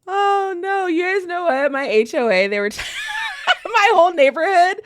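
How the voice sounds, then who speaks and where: A woman's voice: very high-pitched, unhurried. A woman chatting casually and playfully as a panelist on a podcast. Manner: animated, playful.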